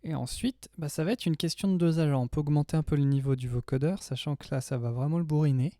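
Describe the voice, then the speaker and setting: A man's voice: on the high side, fast, dark tone, no echo, clean studio sound. A man in his thirties giving a casual, chatty, slangy tutorial as a music production tutor. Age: 30s. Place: small home studio indoors.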